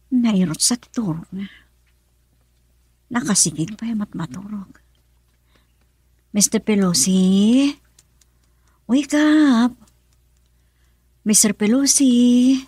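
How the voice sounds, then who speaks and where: A husky, fairly high woman's voice, an anxious caregiver in a radio drama. She speaks slowly, close and dry. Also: mellow, no echo, full character voice. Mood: alarmed. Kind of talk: Ilocano radio drama acting.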